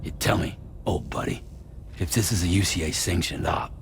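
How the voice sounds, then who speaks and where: An actor playing a wary, blunt courier in a game, questioning things in a terse, skeptical way. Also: male voice, low voice, normal speed, gravelly, noisy background. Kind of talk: scripted game cutscene dialogue.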